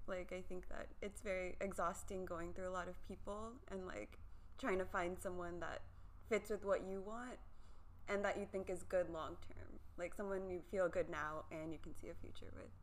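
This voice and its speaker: A woman's voice: on the low side, normal speed, sharp tone, a little hiss or hum. A woman in her twenties, a guest, chatting in a bubbly way with frequent laughter. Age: 20s. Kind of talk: casual chat.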